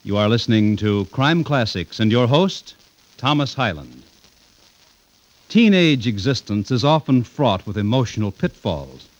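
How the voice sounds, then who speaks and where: Animated American man, vintage radio announcer. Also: medium pitch, measured pace. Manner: polished, formal. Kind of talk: 1950s radio announcing, scripted. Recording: muffled vintage radio recording.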